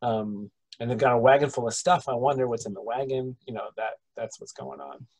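A man running a tabletop game over a video call, describing the scene in a halting way with many pauses and restarts. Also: mid-range voice, lively intonation, a little mellow, slightly rough, low-quality recording. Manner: hesitant, full of ums and restarts. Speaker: game master.

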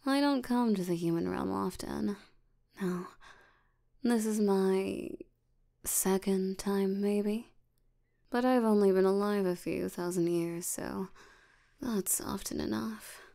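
A woman playing a lazy, sleepy, ancient demon in an ASMR roleplay, drowsy and unbothered, with a soft tired voice. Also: medium pitch. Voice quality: soft, breathy, creaky tired voice. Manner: drowsy, slow, drawling, unbothered. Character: sleepy, lazy female sloth demon, ancient but youthful.

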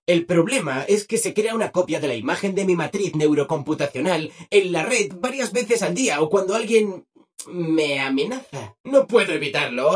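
A man's voice: high-pitched, breathy edge, full character voice. A man with a Castilian Spanish accent voicing a relentlessly cheerful, eager-to-please robot assistant in a video game, with bubbly, exaggerated enthusiasm. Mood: chipper, apologetic. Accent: Castilian Spanish, from Spain. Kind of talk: dubbed video game dialogue.

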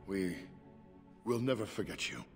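A man's voice: on the low side, unhurried, husky. An actor playing an awed, grateful man newly freed from a curse in a fantasy game, earnest and wondering. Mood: grateful, hopeful. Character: male werewolf restored to human, awed and grateful. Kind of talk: fantasy video game acting. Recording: reverberant voice over game music.

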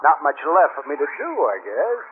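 High-voiced, rambling, cheerful spaceman. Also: sing-song, measured pace. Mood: wistfully merry. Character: boastful, cheerful spaceman reminiscing while doomed. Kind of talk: mid-century radio drama acting. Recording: tinny, noisy 1950s radio recording.

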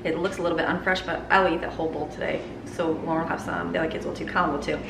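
A woman's voice: low voice, fairly even intonation, very fast, creaky. A woman in her thirties chatting casually to a handheld camera as she works in her home kitchen. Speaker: vlogger. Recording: echoey kitchen with room noise.

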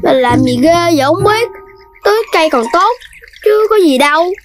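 A playful animal youngster in a kids' cartoon. The voice is extremely high and childlike, cheeky and teasing, with music clearly behind it. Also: female voice, animated, moderate pace. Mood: carefree.

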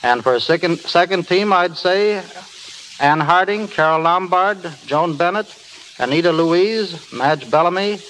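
A man gives formal, scripted answers on a 1930s radio show. His voice sits slightly high, with a light layer of old broadcast noise. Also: lively intonation, unhurried, fairly bright tone, low-quality recording. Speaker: magazine editor, interview guest.

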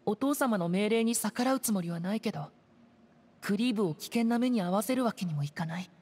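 A woman playing a timid, earnest, soft-spoken teenage boy in an anime-style game, hesitant and subdued, with music behind. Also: mid-range voice, lively intonation, a little fast, natural voice. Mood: earnest, resolute. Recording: studio voice over game music. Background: background music.

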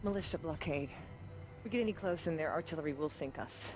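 An actress playing a cool, businesslike female government agent, brisk and matter-of-fact. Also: low-pitched, music in the background, natural voice.

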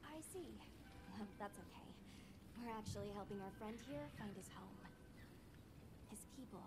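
A woman's voice: medium pitch, moderate pace, heavy background noise, lightly acted. An actress playing a polite, composed young female guide in a game cutscene, courteous and articulate. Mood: kind. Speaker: video game character. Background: music.

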